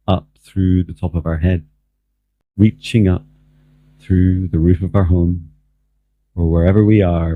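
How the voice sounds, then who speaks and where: A man leading a guided meditation as a spiritual mentor, speaking in a slow, calm, measured way with long pauses. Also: deep voice. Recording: muffled, phone-like narrow band, dry.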